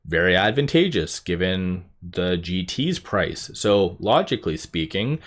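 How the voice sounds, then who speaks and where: A man giving informal investor commentary as a YouTube host, rambling as he thinks out loud. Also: sits low, very animated, measured pace. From Canada.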